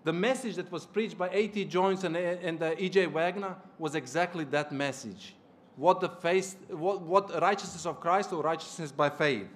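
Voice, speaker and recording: Male preacher, somewhat high-pitched, didactic church sermon delivery with read-out passages and brief questions to listeners. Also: normal speed. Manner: didactic, checks in with listeners using short questions. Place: small church hall, at a lectern.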